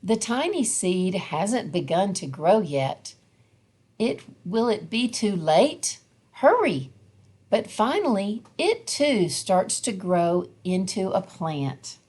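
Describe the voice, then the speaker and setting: A woman reading a children's picture book aloud in an expressive, deliberate storytelling manner. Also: medium pitch, slightly rough. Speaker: storybook reader.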